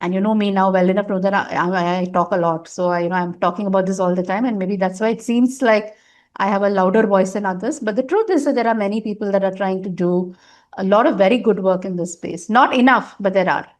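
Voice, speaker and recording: A woman's voice: medium pitch, fast talker. A middle-aged woman, a guest in a candid interview, talking at length with emphasis and frequent fillers. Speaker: interview guest, nonprofit governance advisor.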